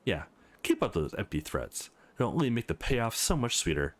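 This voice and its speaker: A man's voice: fairly low pitch, very animated, moderate pace. A man voicing a cocky, hostile young male bully in a visual novel, sneering and threatening. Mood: menacing.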